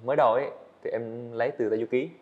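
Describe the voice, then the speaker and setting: A man's voice: mid-range voice, fairly even intonation, fast, narrow, tinny audio. A young man, a guest animator, earnestly explaining his work step by step in a casual interview on a studio set.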